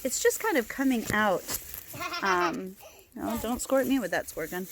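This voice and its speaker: A woman's voice: a little high, lively intonation, measured pace, a crowd in the background, low-quality recording. A woman guiding a casual outdoor plant walk, talking in a chatty way with many fillers and restarts, recorded on a handheld phone in a garden. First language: not Hebrew. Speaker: herbal plant walk guide.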